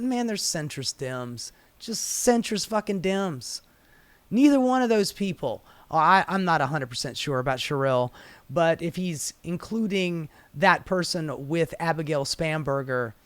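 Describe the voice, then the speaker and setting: A man's voice: sits high, fairly bright tone, dry recording. An American man giving casual, opinionated news commentary in a sardonic, exasperated, sweary manner. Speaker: political commentator.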